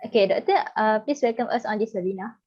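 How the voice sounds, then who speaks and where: A woman's voice: medium pitch, fast talker, warm tone. A woman moderating an online webinar, reading out speaker introductions in an upbeat way. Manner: upbeat, reads speaker bios. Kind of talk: formal introductions. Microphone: video call. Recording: lo-fi video call audio.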